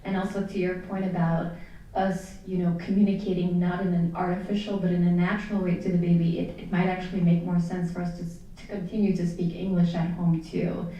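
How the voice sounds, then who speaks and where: A woman's voice: fairly low pitch, level tone, fairly quick, mellow, dull audio. A young woman from Belgium, a native Dutch speaker, asking a question conversationally from the audience. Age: young adult. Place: indoor public event. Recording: echoey room, distant handheld microphone.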